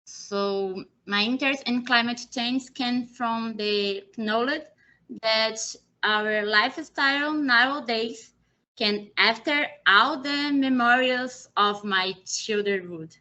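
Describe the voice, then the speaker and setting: A young woman from Brazil with a Brazilian Portuguese accent, speaking halting non-native English as she presents a webinar from a small room. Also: on the high side, bright, forceful tone. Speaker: presenter, PhD student. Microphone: video call, laptop mic. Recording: muffled video call audio.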